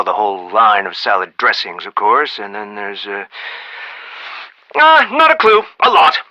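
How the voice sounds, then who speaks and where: A demanding, eccentric inventor boss for a video game. His voice is high for a man and extremely bright and forceful, with big swoops in pitch. He talks in a folksy, theatrical, blustering way. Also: heavily acted, rough recording. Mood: rambling, musing. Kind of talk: scripted game dialogue.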